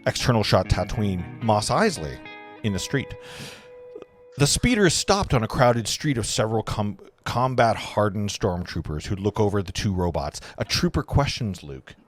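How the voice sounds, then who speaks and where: A man's voice: fairly low pitch, sing-song, moderate pace, faint music behind. A man reading screenplay scene directions aloud as the narrator of a table read.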